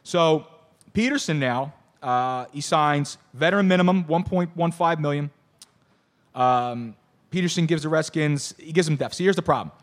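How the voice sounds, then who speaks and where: Hoarse, excitable sports talk host. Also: male voice, a little high, moderate pace. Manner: excitable, rambling with many restarts. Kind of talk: casual sports chat. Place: bar venue.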